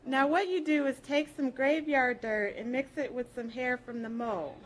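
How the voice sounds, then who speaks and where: A woman's voice: high voice, fairly even intonation, normal speed. A woman reading folksy prose aloud at a live program, with a playful, colloquial storytelling delivery. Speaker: public reader at a library program.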